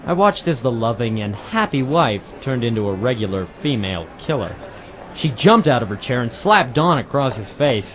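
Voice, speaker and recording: An actor playing a hard-boiled, wisecracking private eye in an old-time radio detective drama, with a wry, sardonic delivery. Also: male voice, medium pitch, sing-song, normal speed, a crowd in the background, close to an everyday voice. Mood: narrating dryly. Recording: muffled amateur radio-drama recording with music.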